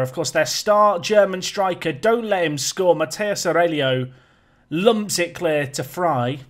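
A man talking casually over a football management game, joking and self-deprecating as he goes. His voice sits a touch high for a man and sounds slightly raspy and worn today. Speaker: gaming YouTuber.

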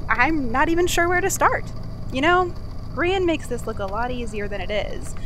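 Woman playing a high-voiced, rambling male archive assistant. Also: background noise. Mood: awkward, rambling. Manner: rambling, hesitant, conversational. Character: chatty, rambling male archival assistant confessing strange experiences. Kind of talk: audio drama monologue.

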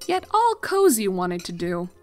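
A woman reading a fan fiction story aloud as an expressive narrator. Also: medium pitch, measured pace, soft background music.

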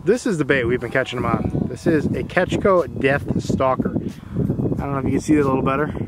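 A man's voice: medium pitch, brisk, loud music behind the voice, rough recording. A man, chatting to a chest-mounted camera while fishing on a windy, cold pond shore. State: cold, runny nose. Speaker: fishing vlogger. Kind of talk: casual outdoor narration. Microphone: action camera on chest harness.

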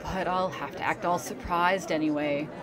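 A woman's voice: low-pitched, fairly even intonation, moderate pace, lightly acted. A woman playing a friendly, chatty event guest in a conversational audio drama. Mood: friendly, coy. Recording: very loud crowd chatter.

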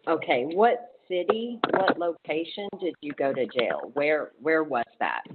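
A woman's voice: low-pitched, animated, a little slow, very noisy. A woman working as a law office receptionist, talking politely and a little hesitantly with a caller. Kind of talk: phone conversation with a caller. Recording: muffled narrow telephone line.

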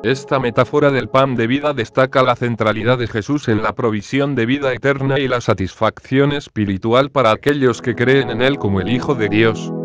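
A man giving a scripted devotional reflection on a gospel passage in a steady, even reading voice. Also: medium pitch, lo-fi sound.

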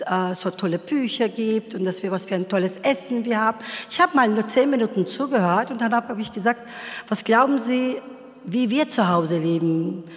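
A middle-aged woman telling a story at a panel discussion, animated and forceful, speaking into a table microphone. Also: fairly high pitch. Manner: animated, gestures a lot, grows forceful. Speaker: panel guest. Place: wood-panelled hall, seated at panel table. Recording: long hall reverb.

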